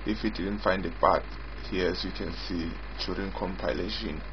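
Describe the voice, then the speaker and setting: A man giving an informal screen-recorded software demo as its developer, in a halting way, often repeating and rephrasing. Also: low voice, steady tone, noisy background. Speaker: software developer demonstrating own app. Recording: dull lo-fi mic with prominent music.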